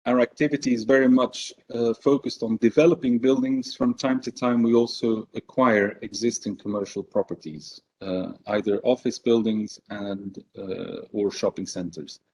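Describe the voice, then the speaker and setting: Man from belgium, business talk, hesitant, lots of fillers, middle pitch, dull lo-fi sound. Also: fairly even intonation, darkish tone. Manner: measured, hesitant with many fillers. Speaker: conference speaker. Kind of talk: business presentation.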